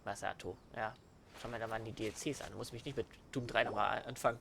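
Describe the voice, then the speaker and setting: A man's voice: on the low side, fairly even intonation, quick pace, creaky, fairly bright tone, a little hiss or hum. A man with a German accent giving casual, rambling let's play commentary with teasing banter, game music behind him.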